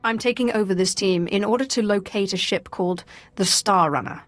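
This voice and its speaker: An actress playing a commanding, curt female dark sorcerer lord in a video game. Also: medium pitch, fairly quick, no echo, soft background music, natural voice. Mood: cool, commanding. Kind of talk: game voice acting.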